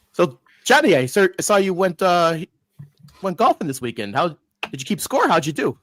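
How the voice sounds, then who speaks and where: Congested nasal podcast host. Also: male voice, high voice, very animated, normal speed, fairly bright tone, no echo. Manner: loose, rambling, lots of "like". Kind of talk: casual sports podcast chat.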